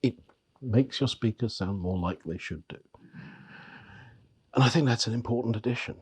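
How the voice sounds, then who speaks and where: A middle-aged man, hoarse from a heavy cold, talks to camera as a magazine editor reviewing audio gear, rambling and restarting his phrases. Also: moderate pace. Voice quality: gravelly, bass-heavy voice. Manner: rambling, with frequent restarts and repeated words. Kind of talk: product review talk to camera.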